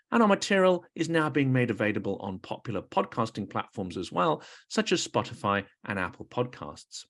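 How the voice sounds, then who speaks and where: A man hosting a podcast, giving a formal introduction and asking interview questions in a measured way. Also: mid-range voice. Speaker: podcast host.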